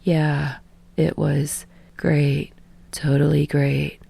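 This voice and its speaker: A woman voicing a tired, awkward young female rock musician with a flat, low-key mumble in an audiobook story. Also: extremely slow, vocal fry, breathy edge, no room sound, heavily acted.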